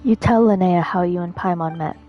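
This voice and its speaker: A woman's voice: on the low side, a little fast, muffled recording, faint music behind. A woman livestreamer reading game dialogue choices aloud. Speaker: streamer.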